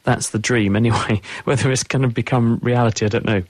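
A man's voice: mid-range voice, fairly even intonation, fairly quick, no room sound. A man on a radio call-in show, patiently explaining science to callers in a studio. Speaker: science guest expert.

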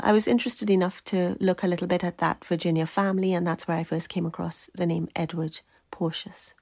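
A woman researcher and writer from Trinidad, answering interview questions in a measured, earnest way. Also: lowish voice, creaky, no echo, studio quality.

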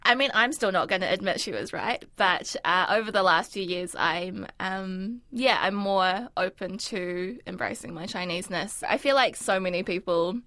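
A woman's voice: medium pitch, moderate pace, hardly any pauses, a bit bright, no echo, clean studio sound. A woman, whose was Mandarin, talking thoughtfully in an interview with frequent ums. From New Zealand. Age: 20s. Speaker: interview guest. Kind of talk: casual reflective interview talk.

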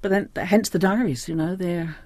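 A woman's voice: fairly low pitch, normal speed, a little mellow, no room sound. An older woman with a New Zealand accent speaking reflectively as an interview guest, thoughtful and often searching for her words. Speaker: interview guest, diary editor.

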